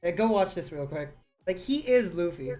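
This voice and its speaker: A woman hosting a casual review chat, excitable and quick to laugh, often restarting her sentences. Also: lowish voice, soft background music. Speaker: host reviewing a series.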